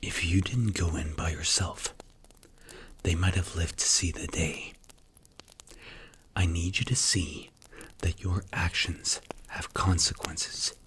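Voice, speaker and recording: Low, hushed, stern mafia boss played by a man. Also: fairly even intonation, whispered. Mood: grave, lecturing. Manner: stern, measured, blunt. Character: strict, commanding male mafia boss mentoring a recruit. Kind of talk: ASMR roleplay, intimate scripted acting.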